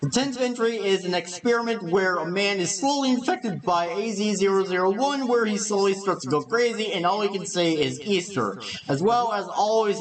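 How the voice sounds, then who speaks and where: A man's voice: sits high, far from the mic, low-quality recording. A man reading scripted narration for a video essay.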